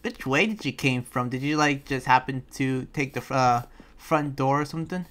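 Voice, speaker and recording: A man playing a talkative, awkward young demon slayer in an improvised Minecraft roleplay, rambling and halting as he goes. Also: mid-range voice, fairly bright tone, lightly acted. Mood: questioning, bemused. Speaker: roleplayer playing the lead character. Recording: music behind the voice.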